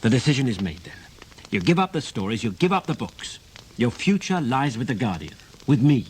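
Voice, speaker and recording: A man's voice: mid-range voice, sing-song, brisk, darkish tone, slight background noise, close to an everyday voice, poor audio. An actor playing an insistent newspaperman in a radio play, firm and pressing. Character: persuasive, insistent English newspaper heir and friend.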